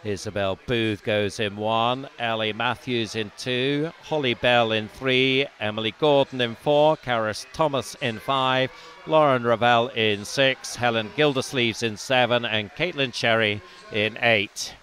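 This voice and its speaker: A man, not from Wales, giving live commentary on a swimming meet, calmly reading out lanes and times and lifting his voice during close finishes. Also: medium pitch, measured pace, slight background noise.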